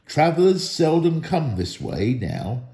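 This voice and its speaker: A man's voice: a little high, huge pitch swings, slow pace, gravelly, warm tone, echoey room, exaggerated voice. A man voicing wary, grumbling dwarves from a storybook in a cautionary, complaining way. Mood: wary warning. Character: wary, grumbling male dwarves of a travelling band.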